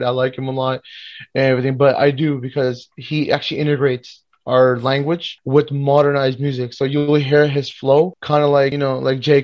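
A man's voice: mid-range voice, highs cut off. A young man from Miami, a music producer talking casually in an interview, rambling with lots of fillers. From Miami, United States. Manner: relaxed, rambling, many fillers.

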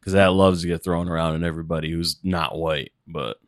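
Deep monotone man, quiet podcast co-host. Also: fairly quick, no echo, studio quality. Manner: calm, quiet, rarely gets loud. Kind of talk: casual chat.

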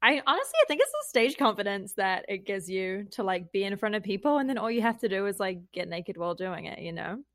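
A woman's voice: medium pitch, very animated, brisk, few pauses, fairly bright tone, dry recording, studio quality. A woman with a New Zealand accent, chatting casually as a podcast guest. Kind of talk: casual chat.

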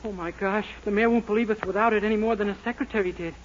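An American man playing an earnest, naive, excitable young man in a 1940s radio sitcom, breathless and pleading. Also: high-pitched, rapid-fire, natural voice. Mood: flustered, earnest. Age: young adult. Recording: noisy muffled 1940s radio recording.

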